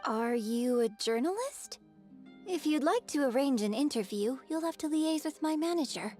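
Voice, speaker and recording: A woman's voice: sits high, lively intonation, normal speed, a little mellow, soft background music. A woman playing a gracious, refined young opera star in a video game, speaking politely and formally. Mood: curious, formal.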